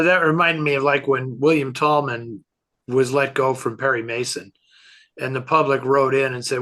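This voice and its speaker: A man's voice: medium pitch, pushed and bright. An older man with an American accent, chatting casually and telling stories as a co-host. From San Francisco area, United States. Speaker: co-host answering fan questions. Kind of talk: casual chat, reminiscing.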